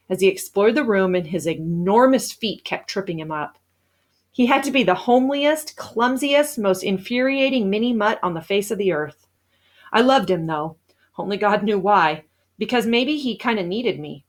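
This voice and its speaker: Female read-aloud narrator for a children's novel; mid-pitched, expressive storyteller delivering scripted first-person prose. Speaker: storybook reader.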